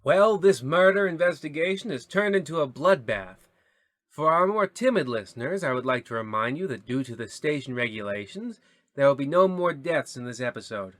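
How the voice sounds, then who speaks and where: A man plays an old-time radio announcer narrating a comedy serial. He is arch and teasing toward his listeners. The tone is bright and projected. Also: a little high, animated, moderate pace, dry recording. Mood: arch, suspenseful.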